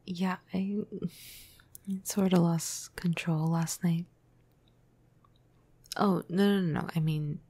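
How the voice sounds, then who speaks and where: A woman acts out a tender, flirty female vampire in an ASMR roleplay. Her low, slow voice slips between husky murmurs and whispers, close and intimate. The delivery is casual, hesitant and teasing. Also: no echo, exaggerated voice. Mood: apologetic, tender. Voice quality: breathy, soft, husky, low. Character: flirty, apologetic, caring female vampire crush. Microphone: close microphone, dry.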